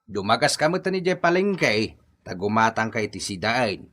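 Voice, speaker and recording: An actor playing a blunt, gruff older father-in-law in a comedy radio drama, with a commanding manner. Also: lowish voice, very animated, normal speed, a bit bright. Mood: ordering.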